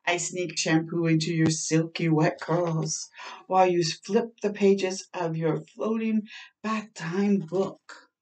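A woman's voice: on the low side, steady tone, slow, a little mellow. A middle-aged woman hosting children's storytime, reading a picture book aloud expressively with asides, drawing out words in a home bathroom. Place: small home bathroom, indoors. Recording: slightly distant microphone in a room.

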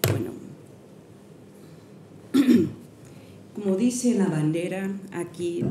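A middle-aged woman, not a native speaker, reads an academic lecture from a podium, pausing and restarting often at an unhurried pace. Her voice sits low and mellow. The sound rings through a roomy hall, picked up from some distance with a faint murmur behind. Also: a little hiss or hum. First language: not Spanish. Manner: careful, halting, with frequent restarts and fillers. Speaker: guest lecturer. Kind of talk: academic lecture, partly read aloud. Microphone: podium microphone. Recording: echoey hall, mic from a distance.

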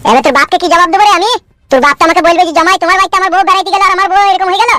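A high-voiced performer, heard as a woman, voicing an angry, foul-mouthed son-in-law in a comic cartoon. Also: rapid-fire, a bit bright. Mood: angry, ranting.

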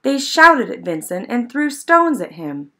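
A woman narrating a children's picture book in an expressive, measured storytelling manner. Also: fairly high pitch. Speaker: storybook reader.

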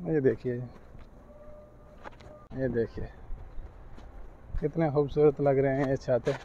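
A man's voice: medium pitch, steady tone, slow pace, warm tone, muffled recording. A man casually narrating a devout walking tour on a handheld phone, outdoors on city streets. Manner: devout, pointing things out. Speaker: vlogger giving a walking tour. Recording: noisy outdoor phone recording.

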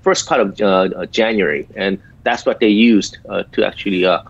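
A man in his fifties from South Korea with a small Korean accent, speaking in halting sentences full of filler pauses as a guest doctor in a remote interview. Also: on the low side, gravelly, husky. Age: 50s. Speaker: guest physician. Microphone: video call. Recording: dull lo-fi video call audio.

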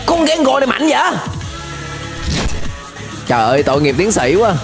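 A young man with a southern Vietnamese accent giving excited, playful, exclamatory running commentary as a reaction video host. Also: sits high, moderate pace. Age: young adult. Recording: music behind the voice.

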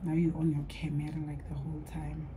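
A young South African woman chats casually to camera while she does her makeup. She talks in a rambling way, switches languages mid-sentence and trails off into soft asides. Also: low voice, monotone, brisk, heavy background noise. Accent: South African, mixing in Sepedi. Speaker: vlogger.

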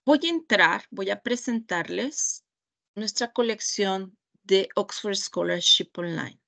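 Mexican woman, webinar trainer, very unhurried, methodical screen-share walkthrough. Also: mid-range voice, lively intonation, gravelly, bright, forceful tone. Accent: Mexican Spanish. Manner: slow, deliberate, pausing often. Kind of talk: screen-share product demonstration. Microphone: video call.

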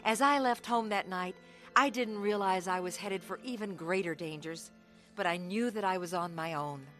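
A woman's voice: medium pitch, normal speed, fairly bright tone, faint music behind, lightly acted. An actress playing a restless, reckless young woman telling her life story in a radio drama. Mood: earnest, regretful. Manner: earnest, confessional storytelling. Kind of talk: dramatised first-person radio testimony.

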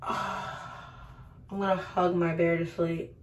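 A woman's voice: fairly low pitch, level tone, slow. Tipsy young woman rambling to camera. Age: 20s. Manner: rambling, earnest pep talk with many fillers. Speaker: vlogger. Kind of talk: casual vlog talk to camera. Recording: loud background noise.

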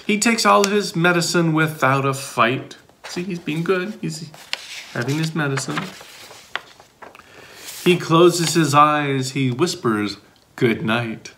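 A man's voice: on the high side, takes it slowly, bright, forceful tone, slight background noise. A middle-aged man reading a children's picture book aloud expressively, with sing-song questions and playful asides. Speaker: volunteer storybook reader.

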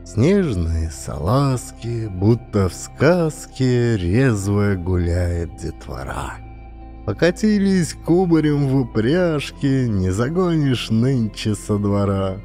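Male poetry reader, low and unhurried, with music underneath. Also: very animated, mellow. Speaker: audiobook narrator reading a poem. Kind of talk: scripted poetry reading.

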